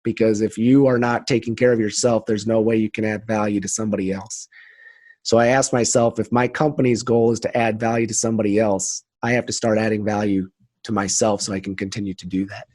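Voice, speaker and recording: Low, even-toned man in a dry recording. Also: a little fast, no room sound. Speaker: podcast guest, leadership trainer. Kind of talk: earnest conversational interview talk.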